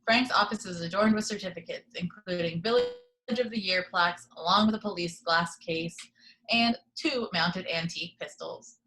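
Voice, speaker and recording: A woman's voice: lowish voice, moderate pace, sharp tone, low-quality recording. A woman reading screenplay action descriptions as the narrator of an amateur table read.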